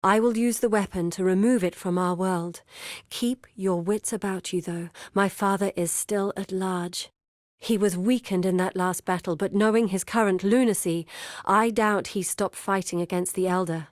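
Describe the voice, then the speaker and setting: A woman's voice: mid-range voice, a little mellow, no room sound, clean studio sound, close to an everyday voice. An actress playing an earnest, determined woman scholar in a video game, speaking in a solemn, articulate and urgent dramatic manner. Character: earnest, determined woman scholar grieving her lost father. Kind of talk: dramatic scripted game dialogue.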